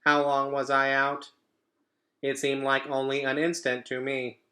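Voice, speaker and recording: A gentle robot mother in a children's storybook, as a man reads the part. The delivery is calm, measured and a little formal. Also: mid-range voice, echoey room, pitched above the performer's own voice.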